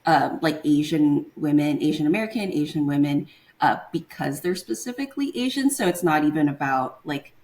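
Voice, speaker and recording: A young woman from Taiwan with a low-pitched voice talks as a guest in a casual interview. She speaks in a hesitant way, filling gaps with "um" and "like" while she hunts for the right term, and her voice sits low and steady. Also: normal speed. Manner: hesitant, searching for words with many fillers.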